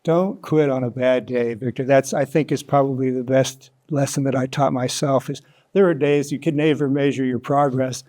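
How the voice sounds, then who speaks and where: An American man in his sixties speaking as an interview guest, reflecting thoughtfully in halting, considered phrases. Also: mid-range voice, dark tone. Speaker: interview guest, author and storyteller.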